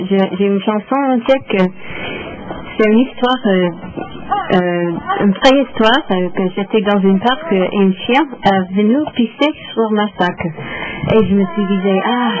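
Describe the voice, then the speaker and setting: A woman speaking French, a singer being interviewed on radio, halting as she searches for words. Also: mid-range voice. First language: English. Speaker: singer-songwriter guest. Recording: lo-fi phone-like radio sound with noise.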